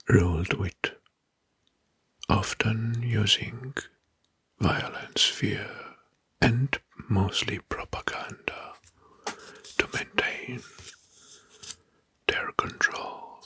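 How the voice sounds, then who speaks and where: A man narrates history as ASMR in a very breathy, mostly voiceless whisper. His delivery is slow and deliberate, with key phrases softly repeated. The sound is close and dry. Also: on the low side, no room sound. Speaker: ASMR host. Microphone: close microphone.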